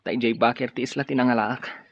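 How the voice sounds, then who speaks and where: A man's voice: mid-range voice, fairly even intonation, fast, natural voice. A resourceful male castaway in a radio drama, played by an actor. He speaks in a middle register, matter-of-fact and reassuring. The recording is muffled, with faint ambience. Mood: practical. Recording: dull audio with faint ambient noise.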